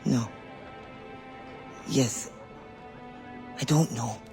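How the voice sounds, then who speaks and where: A man's voice: medium pitch, drawn-out words, music in the background. A man playing a proud, suspicious spymaster in a video game, speaking with theatrical intensity. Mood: agitated. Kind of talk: scripted video game dialogue.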